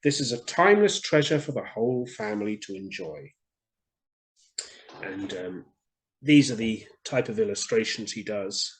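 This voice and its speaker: A man reading stories aloud to children in an expressive way, speaking directly to his young listeners. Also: fairly low pitch, moderate pace. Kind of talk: children's story reading, scripted narration.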